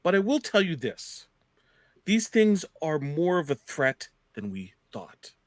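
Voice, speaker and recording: A man's voice: on the high side, sing-song, slow pace, no room sound. A man acting an indignant, fearmongering American senator in a radio play, speechifying with rhetorical emphasis. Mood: grave alarm. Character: alarmist, indignant male American senator stirring up fear.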